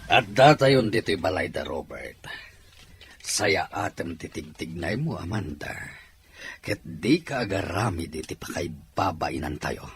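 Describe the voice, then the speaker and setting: A man playing a stern, domineering middle-aged father in an audio drama, forceful in his delivery. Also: low-pitched, very animated, a little slow, husky, heavily acted. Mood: stern warning. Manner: scolding, forceful.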